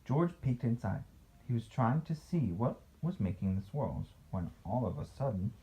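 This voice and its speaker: A man's voice: medium pitch, big pitch swings, normal speed, warm tone, a little hiss or hum, low-quality recording. A man reading a picture book aloud as a father to a child, playful, with casual asides and questions.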